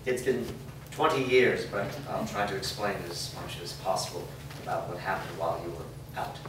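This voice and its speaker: A man playing a gruff, guarded older uncle in a staged reading of a drama. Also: low-pitched, fairly even intonation, moderate pace, natural voice. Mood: guarded, somber. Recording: echoey room, distant mic, background noise.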